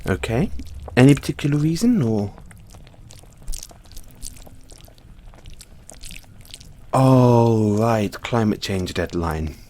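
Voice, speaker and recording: A man playing a giddy, affectionate mad scientist boyfriend in an audio roleplay, excitable and theatrical, coaxing the listener along. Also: mid-range voice, a little slow, close to an everyday voice. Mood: coaxing, hesitant.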